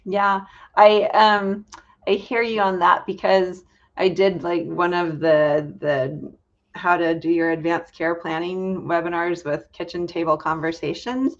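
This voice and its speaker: A middle-aged woman hosting a casual interview, with informal, halting phrasing. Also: on the low side, level tone, muffled recording. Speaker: podcast host.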